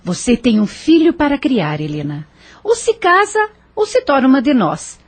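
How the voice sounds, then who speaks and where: A woman's voice: high-pitched, very animated, moderate pace. A woman playing a blunt, sarcastic, worldly brothel landlady in an audio drama, with a scolding manner. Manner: sharp-tongued, sarcastic, scolding.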